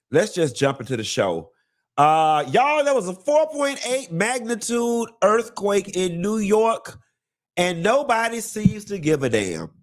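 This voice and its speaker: A man in the middle of his range hosts a live gossip show, sounding congested from a cold. He talks in a sassy, drawling, campy way, calling the audience honey and baby and swooping up high when he wants to stress something. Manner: swoops high on greetings and emphasis. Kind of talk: casual campy gossip monologue.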